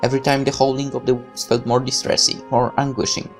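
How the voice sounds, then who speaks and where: Creaky-voiced storyteller reading a game aloud. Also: medium pitch, level tone, fast, soft background music. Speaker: gaming video host reading a visual novel aloud. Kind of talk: story read-aloud with casual asides.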